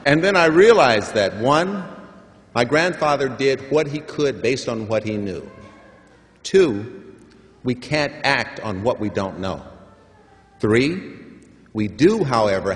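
An older man delivering a formal commencement address, measured and emphatic, building up to stressed points. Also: mid-range voice. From San Francisco. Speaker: commencement speaker. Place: large hall at a graduation ceremony. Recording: long hall reverb.

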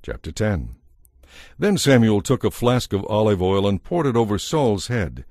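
A man's voice: on the low side, big pitch swings, normal speed, darkish tone, no room sound, studio quality. A man narrating a dramatized audio Bible as a scripted story.

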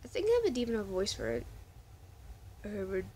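A woman's voice: medium pitch, huge pitch swings, quick pace, mellow, a little hiss or hum. A woman casually chatting to her viewers in a rambling vlog, often restarting her sentences. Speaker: vlogger.

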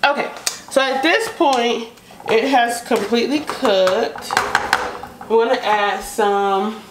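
High-voiced American woman in an echoey kitchen. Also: slow pace. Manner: informal, unscripted, thinking aloud. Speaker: home cook vlogger. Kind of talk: casual chat while cooking. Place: home kitchen, indoors. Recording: echoey kitchen, noisy stove sizzle. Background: sizzling pan, clicks, stirring.

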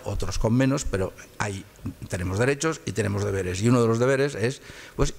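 Hesitant older lecturer from Catalonia. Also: male voice, fairly low pitch, creaky, slightly rough. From Catalonia, Spain. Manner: hesitant, repeats words while searching for phrasing. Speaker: guest lecturer. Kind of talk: conversational public lecture.

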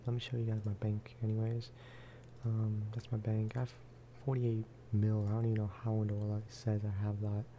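A man talks softly through a video game in a relaxed ASMR style. His voice sits a little low and barely moves in pitch as he rambles casually. There is clear noise behind him, with clicking. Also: a little fast, muffled recording. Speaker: gaming video creator. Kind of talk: soft-spoken ASMR game commentary.

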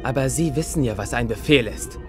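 An actor playing a confident, brisk young knight commander in an audio drama. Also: male voice, medium pitch, music in the background, natural voice. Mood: brisk, firm.

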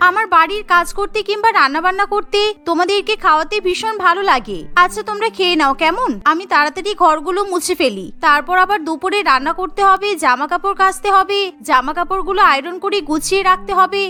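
A woman voicing a cheerful, stubborn young housewife in a cartoon story, chattering briskly and insistently. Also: very high-pitched, bright, forceful tone, faint music behind. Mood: cheerful, busy. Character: busy, cheerful, stubborn young Bengali housewife and daughter-in-law.

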